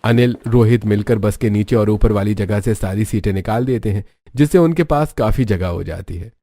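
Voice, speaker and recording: A man's voice: on the low side, moderate pace, warm tone. A man reading scripted story narration for a cartoon tale.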